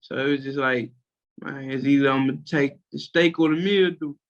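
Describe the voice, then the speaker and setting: A man's voice: medium pitch, steady tone, normal speed, muffled recording. A young man with a Memphis-area Southern accent, a music producer talking casually and slangily about his start, rambling with lots of fillers. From Tennessee, USA. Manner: laid-back, slangy, rambling with many fillers. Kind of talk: casual interview chat.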